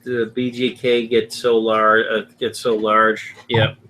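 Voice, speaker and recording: Male stream host, bright tone, rambling, filler-heavy chat reader. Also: on the low side, level tone, slow pace, a little hiss or hum, rough recording. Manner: rambling, reads comments aloud with fillers. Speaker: livestream host reading viewer chat. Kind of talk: casual live-stream chat. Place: home in Florida.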